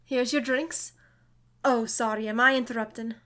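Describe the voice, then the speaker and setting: A woman's voice: fairly high pitch, fairly even intonation, normal speed, a bit bright, close to an everyday voice. A woman playing a friendly, polite tavern serving woman, eager to help, in an audio drama. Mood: obliging. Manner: polite, helpful.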